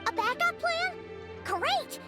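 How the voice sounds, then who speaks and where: Squeaky, excitable little fairy companion. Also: female voice, slow, music in the background, exaggerated voice. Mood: perky, curious. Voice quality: squeaky, childlike. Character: tiny childlike fairy companion, excitable and curious.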